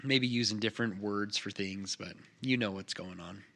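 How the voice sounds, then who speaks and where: A man narrating a tabletop game as game master, describing scenes in a halting way. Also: on the low side, creaky, fairly bright tone. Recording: noticeable background noise.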